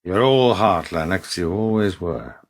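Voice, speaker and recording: A man playing a reserved, questioning British spy in a radio play, measured, polite and probing. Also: low-pitched, lively intonation. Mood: questioning, disapproving.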